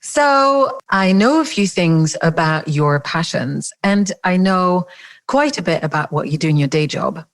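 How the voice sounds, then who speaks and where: A woman's voice: fairly low pitch, lively intonation, unhurried. A woman hosting a podcast interview, gracious and enthusiastic as she welcomes and prompts her guest. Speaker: podcast host. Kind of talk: warm conversational interview.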